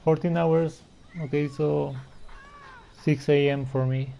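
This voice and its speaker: A man's voice: a little high, level tone, slow. A man giving casual gameplay commentary, informally talking to himself and to the game's characters. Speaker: game streamer. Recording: dull, band-limited sound with game music. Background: clear game music.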